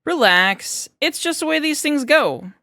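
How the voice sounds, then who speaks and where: A woman voicing an enthusiastic, talkative male research scientist in an audiobook, explaining things in an animated, chatty way. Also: high-pitched, unhurried, no echo, clean studio sound, pitched above the performer's own voice, full character voice. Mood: breezy, reassuring. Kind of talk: fan fiction audiobook character reading.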